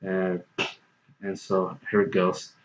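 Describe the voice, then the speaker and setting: A young man with a low voice talks through a word's pronunciation in a meandering, earnest way. His is Hindi-Urdu, and he presses his case with conviction. The recording is dull and lo-fi. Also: monotone, takes it slowly. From United States. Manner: rambling, earnest, argumentative. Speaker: tutorial host. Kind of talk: casual explanatory talk.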